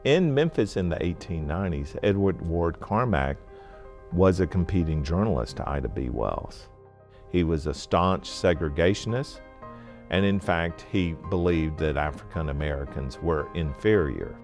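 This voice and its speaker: A man's voice: low voice, expressive, normal speed, a little mellow. A man giving explanatory commentary on history for a documentary. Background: music.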